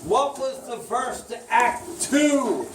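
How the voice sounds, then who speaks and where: Male children's church quiz leader, slow informal delivery, recorded from afar with loud background noise. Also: very high voice, animated. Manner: teasing, prompting, repeats questions. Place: echoey indoor room with children. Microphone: distant recorder. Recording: roomy, distant, very noisy live recording.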